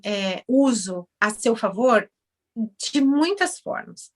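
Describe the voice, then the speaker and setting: Lively Brazilian woman telling her story. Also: fairly high pitch, slow. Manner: animated, acts out dialogue with others in shifted voices. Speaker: guest, Brazilian orthodontist now working as a house cleaner. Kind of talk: casual interview storytelling.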